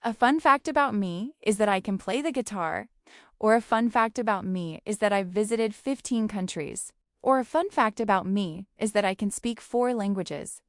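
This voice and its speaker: Woman, English teacher, mid pitch, measured delivery, dry sound. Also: no echo. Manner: clear, measured explaining. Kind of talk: scripted English lesson.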